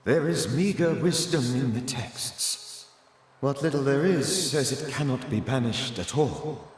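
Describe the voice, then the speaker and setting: A man's voice: medium pitch, expressive, measured pace, lightly acted. A man playing a grave, sorrowful ghost of a banisher mentor in a video game cutscene, speaking with solemn, old-fashioned formality. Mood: warning. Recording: reverberant ghostly echo, music behind.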